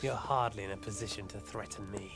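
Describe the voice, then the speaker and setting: An actor playing a smug, cruel warlord who taunts his captive in a theatrical, mock-polite, deliberate way, as in a video game cutscene. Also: on the low side, steady tone, fairly bright tone, faint music behind. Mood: mock-polite menace.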